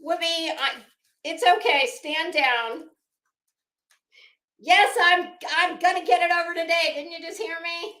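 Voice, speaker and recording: A woman's voice: very high voice, fairly even intonation, unhurried, long pauses, sharp tone, reverberant. A sassy, salty-tongued woman chatting casually and theatrically as she hosts a rambling vlog. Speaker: YouTube host.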